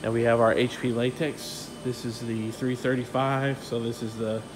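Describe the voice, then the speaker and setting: A man's voice: mid-range voice, normal speed, background noise. Man touring his print shop on a phone. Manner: relaxed, technical, many fillers. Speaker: print shop owner giving a tour. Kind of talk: casual walkthrough tour. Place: print shop offices and warehouse, indoors. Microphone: handheld phone camera, filming while walking.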